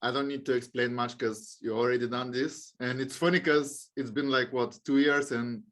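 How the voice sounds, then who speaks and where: A man's voice: medium pitch, steady tone, moderate pace, a bit bright. A man hosting a podcast, chatting loosely with his guest and calling him bro. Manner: loose, chummy, says bro and like. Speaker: podcast host. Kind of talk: casual interview chat.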